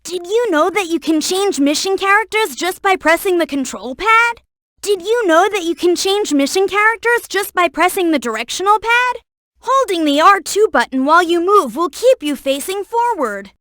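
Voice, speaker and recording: Very high, eager little fox boy. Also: animated, normal speed, fairly bright tone, no echo, clean studio sound. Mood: helpful, instructive. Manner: chirpy, encouraging, earnest, helpful. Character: bright, eager young cartoon fox boy sidekick. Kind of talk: video game character voice lines and tutorial hints.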